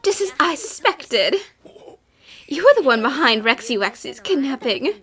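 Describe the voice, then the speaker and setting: A woman voices a young female sleuth from an animated mystery, confident and eager. Her voice is very high and bright, swooping theatrically as she declaims. Music plays underneath. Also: normal speed. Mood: confident explaining. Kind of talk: animated mystery fan dub acting.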